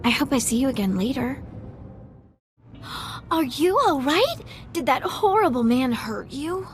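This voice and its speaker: High, lilting, coy young heiress. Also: female voice, animated, a little slow, a little hiss or hum. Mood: flustered, uneasy. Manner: coy, gushing. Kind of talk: video game voice acting.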